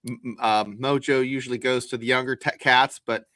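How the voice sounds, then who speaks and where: A man's voice: medium pitch, steady tone, brisk, sharp tone, no echo. A man co-hosting a sports podcast, explaining his takes in a folksy, casual way. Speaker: podcast co-host.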